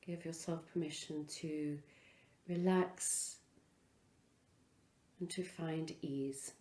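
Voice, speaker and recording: A woman leading a guided relaxation as a yoga instructor, speaking in an unhurried, soothing way with pauses between phrases. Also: low voice, steady tone, mellow, roomy sound.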